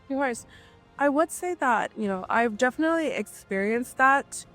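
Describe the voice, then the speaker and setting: High, animated woman from Nigeria. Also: normal speed, soft background music. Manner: animated, emphatic, often adds "right?". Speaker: guest entrepreneur. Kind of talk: casual interview talk.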